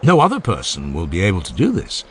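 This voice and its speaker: Man acting as inquisitive philosopher, genial, emphatic, relentlessly questioning, hugely animated intonation, audiobook dialogue, slight background ambience. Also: sits low, fast, slight background noise. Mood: probing. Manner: rhetorical, emphatic, step-by-step questioning. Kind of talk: dramatized philosophical dialogue reading.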